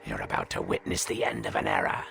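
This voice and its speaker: Bitter ancient game villain, super low croaky growl, sneering, music behind. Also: male voice, sing-song, fast, heavily acted. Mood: menacing. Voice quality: gravelly, creaky. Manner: sneering, snarling, theatrical. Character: bitter, vengeful centuries-old man, contemptuous and foul-mouthed. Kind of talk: video game character acting.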